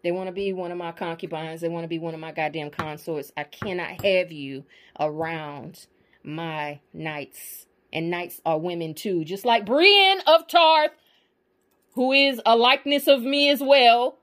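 A woman's voice: fairly low pitch, measured pace, a bit bright. A woman in a casual monologue to her viewers, emphatic and profane, often checking in with the audience. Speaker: spiritual vlogger.

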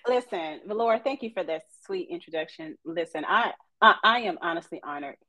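A woman from East Texas, chatting on a podcast as a guest counselor and telling her story with faith-filled warmth. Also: medium pitch, lively intonation, fairly quick, no echo. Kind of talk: casual podcast chat.

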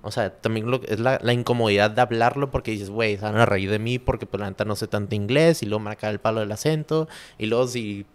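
A man hosting a podcast, speaking with a northern Mexican Spanish accent and a relaxed, slang-heavy manner. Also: on the low side, quick pace, few pauses, clear, resonant tone. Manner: slangy, relaxed, lots of "güey" and "pues". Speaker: podcast host. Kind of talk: casual podcast conversation.

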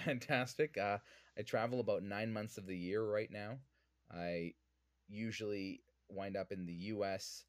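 A man's voice: on the low side, no room sound, studio quality. A man from Vancouver with a Canadian accent, answering interview questions conversationally as a podcast guest. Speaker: podcast guest, whiskey influencer.

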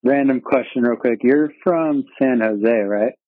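A man's voice: mid-range voice, a little slow, no echo. A man hosting a casual interview, asking questions in a laid-back, slangy way. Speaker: interview host. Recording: dry, phone-like remote call audio.

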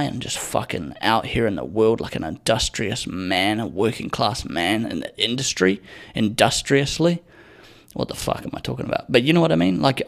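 A man's voice: medium pitch, vocal fry. A young man hosting his own podcast, talking casually in a profane, rambling, sardonic way into a microphone. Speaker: podcast host. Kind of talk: casual solo podcast rant.

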